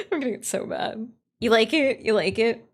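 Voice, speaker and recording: Informal, excitable woman streamer. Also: fairly high pitch, moderate pace, husky. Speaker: streamer, writer and voice actor. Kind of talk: casual livestream chat.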